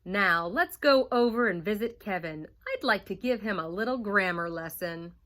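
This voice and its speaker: A woman's voice: medium pitch, expressive, normal speed, a bit bright. A woman teacher reading a picture book aloud to young children, stopping to ask the class questions. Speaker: first grade teacher.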